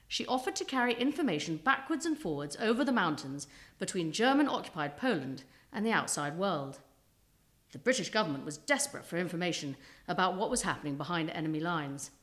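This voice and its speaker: A woman with a fairly low voice narrates a scripted true-life story from history. Her pitch is lively and varied, and she phrases things dramatically to build suspense, like a practised storyteller.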